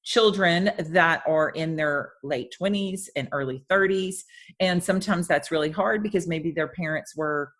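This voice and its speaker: Chatty woman in her fifties, lowish voice. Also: unhurried. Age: 50s. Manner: chatty, warm laughter, rambling restarts. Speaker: podcast host. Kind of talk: casual interview chat.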